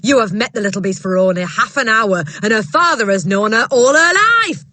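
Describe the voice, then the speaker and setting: Booming bully of a headmistress, a woman reading her. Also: expressive, a little fast, no room sound. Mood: barking scorn. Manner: barking, shouting, contemptuous. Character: bullying, booming female headmistress, huge and scornful. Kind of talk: audiobook character voice.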